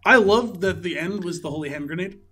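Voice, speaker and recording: Man, high pitch, sharp bright tone, informal podcast co-host, casual film talk, acts out quotes. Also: brisk. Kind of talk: casual movie discussion chat.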